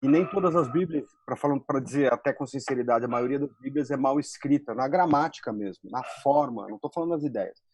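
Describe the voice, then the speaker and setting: A man's voice: medium pitch, fairly quick, few pauses, a little mellow, breathy edge, dry recording. Brazilian man, dry close sound. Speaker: screenwriter, panelist. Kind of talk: casual, colloquial panel talk.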